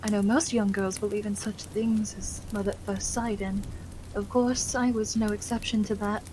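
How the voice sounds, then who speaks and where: A woman's voice: mid-range voice, level tone, moderate pace, mellow, slight background noise, natural voice, low-quality recording. A woman playing a caring, genteel young Victorian wife and hostess in an ASMR roleplay, with soothing, polite, old-fashioned phrasing. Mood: fond, wistful.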